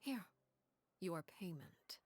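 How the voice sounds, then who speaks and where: An actress playing a cool, commanding woman who speaks in a calm, measured and condescending way. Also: lowish voice, a little hiss or hum. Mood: cold. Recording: game audio with background music.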